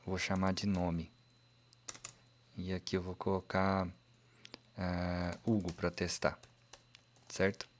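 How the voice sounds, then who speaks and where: A man's voice: low voice, monotone, slow pace, long pauses, slight background noise. A man, walking through a coding lesson step by step and often checking in with the listener. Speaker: programming instructor. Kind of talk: screen-recorded coding tutorial.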